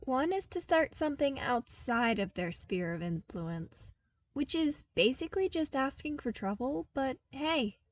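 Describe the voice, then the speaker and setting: A woman voicing an earnest, wry young man from a harsh desert world in a story reading, explaining with animated emphasis. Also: sits high, unhurried, pitched above the performer's own voice. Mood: sardonic, animated.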